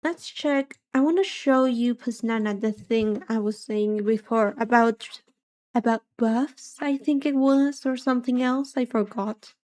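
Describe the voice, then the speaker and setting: A soft-voiced woman livestreamer chatting casually and reacting, with a musing, hesitant, playful manner. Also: a little high, unhurried. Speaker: livestreamer, vtuber.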